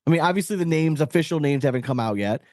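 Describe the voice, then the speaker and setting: A man's voice: a little high, expressive, quick pace, a little mellow, no room sound, studio quality. A man hosting a podcast in casual sports chat, talking in a slangy, opinionated, conversational way. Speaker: podcast host.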